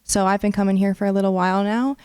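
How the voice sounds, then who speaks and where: A woman's voice: medium pitch, fairly even intonation, quick pace, darkish tone, smooth, no room sound, studio quality. A woman in her early twenties from Ohio with an American accent, speaking informally as a student panelist. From Ohio, United States. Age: early 20s.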